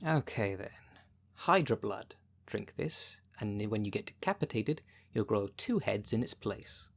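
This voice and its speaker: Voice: medium pitch, expressive, a little slow, vocal fry. A dry, bossy city witch, acted out in ASMR roleplay. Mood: matter-of-fact, dry. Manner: dry, matter-of-fact, casually bossy. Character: sardonic, brisk witch shopkeeper of a city potion shop, female. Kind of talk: ASMR roleplay, speaking directly to the listener.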